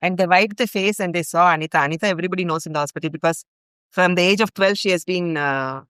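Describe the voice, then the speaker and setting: Earnest middle-aged Indian woman, smooth voice. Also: on the low side, fast, fairly bright tone, dry recording, clean studio sound. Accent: Indian English. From India (grew up in Bhopal). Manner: earnest, recounts events in long chains with fillers. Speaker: guest, longtime ashram volunteer. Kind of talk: podcast storytelling.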